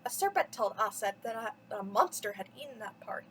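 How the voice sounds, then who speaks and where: A woman's voice: on the high side, lively intonation, fairly quick, a bit bright, a little hiss or hum. A woman reading a mythology story aloud for children as a storyteller, with dramatic, theatrical emphasis. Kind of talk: expressive bedtime story read-aloud.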